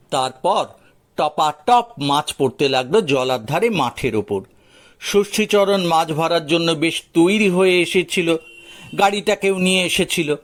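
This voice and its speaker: A man narrating a folk tale as a storyteller, in a dramatized audio story style. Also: fairly high pitch.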